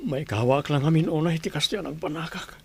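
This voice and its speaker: Brief: slightly high voice, troubled family man in a radio play. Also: fairly quick, gravelly, darkish tone, breathy edge. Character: young husband and father, troubled.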